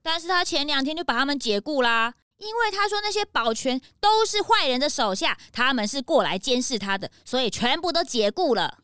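High, perky childlike sidekick. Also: female voice, very animated, a little fast, hardly any pauses, pushed and bright, dry recording, clean studio sound, full character voice. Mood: grumbling. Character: perky, cheeky young assistant of a detective. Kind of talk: children's detective audio drama.

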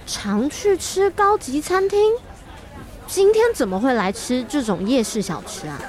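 Curious, caring mom, fairly high and very animated, radio drama for children. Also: female voice, a little slow, some chatter behind. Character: curious, caring mother running a night market stall.

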